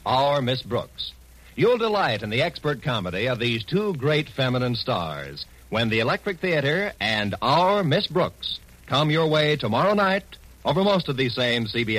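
A man's voice: mid-range voice, fairly bright tone, poor audio. A man with an American accent reading scripted credits and promos as a 1940s radio announcer. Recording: old radio recording with music behind.

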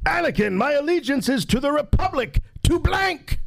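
A man's voice: very high voice, very animated, moderate pace, vocal fry. A man hosting a casual live quiz on a convention stage, bantering with contestants. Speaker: trivia game host.

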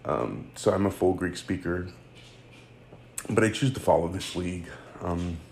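A man's voice: low-pitched, unhurried, vocal fry, darkish tone, a little hiss or hum. A man, hosting a casual solo sports podcast and rambling with fillers and self-corrections. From United States. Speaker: podcast host.

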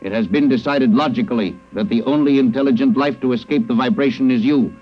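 An actor playing a cold, logical alien zookeeper in a radio drama, speaking in formal, stilted, numbered statements without emotion. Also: male voice, medium pitch, brisk, natural voice. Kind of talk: classic radio drama acting. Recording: dull, lo-fi old radio recording.

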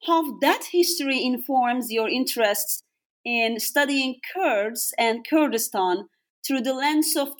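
A woman hosting a podcast, putting careful questions to her guest. Her voice sits a little high, and she speaks in a measured way with small hesitations between thoughts. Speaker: podcast host. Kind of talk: asking interview questions.

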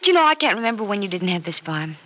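A young radio soap heroine with a bright, clear-cut delivery and animated intonation. She is sensible and gently teasing, speaking conversationally in old-time drama style. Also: mid-range voice, racing. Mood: friendly, teasing. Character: Penny, warm-hearted, sensible, gently teasing young woman.

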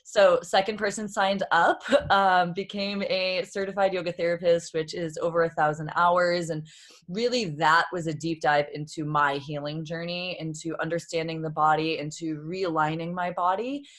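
A woman's voice: lowish voice, steady tone, normal speed, a bit bright. A woman in her thirties from Boston, a yoga therapist telling her story as a podcast guest in a reflective, open way, laughing often. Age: 30s. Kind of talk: casual interview talk.

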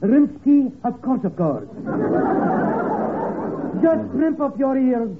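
An actor playing a zany, boastful Russian musician with a Russian accent and grandiose, mangled formality, in 1940s radio comedy style. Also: male voice, very high voice, lively intonation, takes it slowly, narrow, tinny audio, over a noisy crowd, exaggerated voice. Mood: zany, proud. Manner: grandiose, mock-formal, oddly mangled phrases. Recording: thin, noisy old radio broadcast, audience behind.

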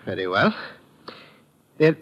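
A man's voice: on the low side, very animated, takes it slowly, fairly bright tone, full character voice. A man playing a secretive, urgent, frightened informant out for revenge in an old radio drama, speaking in formal wording. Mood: bitter, fearful. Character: furtive, frightened, vengeful male informant. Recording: muffled, noisy old radio recording.

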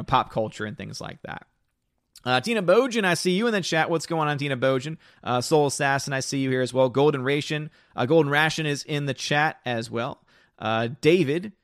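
A man with a slightly hoarse voice talks casually on a live stream, reading viewer comments aloud and rambling with restarts. His voice sits at an ordinary middle pitch. The sound is dry and close, with no room echo. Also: a bit bright.

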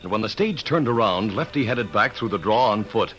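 Low-voiced, animated vintage radio narrator, male. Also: low-quality recording. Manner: dramatic, measured announcer delivery. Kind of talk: old-time radio scripted narration.

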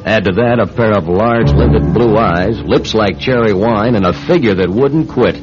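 An actor playing a wisecracking, skeptical bachelor writer in a radio drama, narrating and acting in a sardonic way. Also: male voice, on the low side, normal speed, over loud music, natural voice. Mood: smitten narration. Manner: wry, sardonic. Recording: dull lo-fi radio drama recording.

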